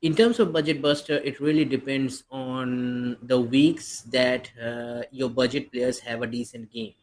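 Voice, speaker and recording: A man's voice: medium pitch, fairly even intonation, a little slow, a little hiss or hum. A man co-hosting a podcast, chatting casually and eagerly about fantasy football, often restarting his sentences. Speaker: podcast co-host.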